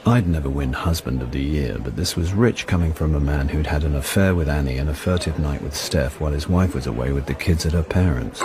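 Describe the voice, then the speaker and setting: A man's voice: a bass voice, a little fast, hardly any pauses, crowd noise. An actor playing a wry, jaded middle-aged man narrating his misadventures in a radio drama, dry and self-mocking. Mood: sardonic.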